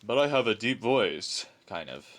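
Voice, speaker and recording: Deep-voiced young man rambling over gameplay. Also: sing-song, moderate pace, fairly bright tone. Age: 20s. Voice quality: rambling, self-deprecating. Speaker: let's play host. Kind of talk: casual gameplay commentary.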